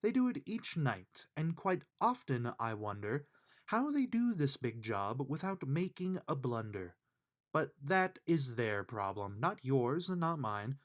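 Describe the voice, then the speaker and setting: A man reading a rhyming children's bedtime book aloud with measured, emphatic storytelling delivery. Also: medium pitch, very animated. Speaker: storybook reader.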